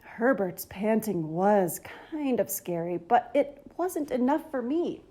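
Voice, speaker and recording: A woman's voice: fairly high pitch, lively intonation, slow pace, dark tone. A woman reading a children's story aloud in an enthusiastic, dramatic narrating style. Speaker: storybook reader.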